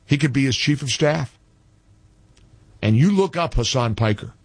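A man's voice: medium pitch, expressive, normal speed, no room sound. A male talk radio host speaking combatively, often repeating phrases for emphasis.

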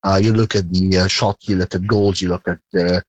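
Male interview guest, low voice, hesitant, full of restarts. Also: level tone, dry recording. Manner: halting, many hesitations and restarts. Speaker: sports data company chairman, interview guest. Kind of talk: news interview answers.

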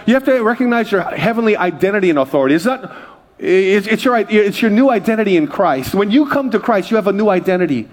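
Male pastor, passionate preacher who works the room, pitched a bit high. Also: fast talker. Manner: energetic preaching with crowd call-outs. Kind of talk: sermon.

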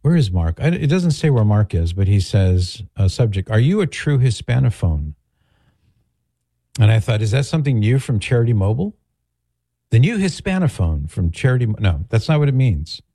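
A man's voice: fairly low pitch, sing-song, moderate pace, dark tone, no echo. A man hosting a radio talk show, chatting casually and reading listener emails with easy laughs. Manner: chatty, jokey, laughs easily. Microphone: studio mic.

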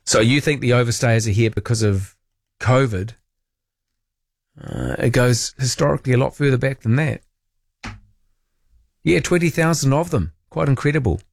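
Male late-night radio host, New Zealand accent. Colloquial, wry phone-in chat, medium pitch, very close dry sound. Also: moderate pace, creaky, long pauses, no room sound.

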